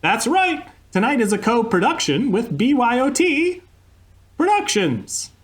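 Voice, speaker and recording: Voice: medium pitch, very animated, a little slow. A performer playing a chatty old-time radio host who drifts into travel stories, over a video call. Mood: rambling.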